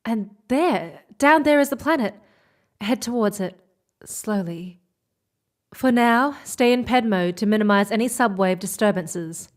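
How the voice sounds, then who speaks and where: Woman playing a slow-talking, determined space pilot. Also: mid-range voice, expressive, lightly acted. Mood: focused. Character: determined, hopeful female stowaway pilot. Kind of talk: sci-fi audio drama acting.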